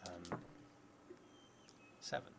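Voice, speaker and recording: A man in his thirties with a creaky voice, thinking aloud and reading as he plays a solo tabletop game, halting and trailing into murmurs. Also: heavy background noise. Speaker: solo tabletop roleplayer streaming.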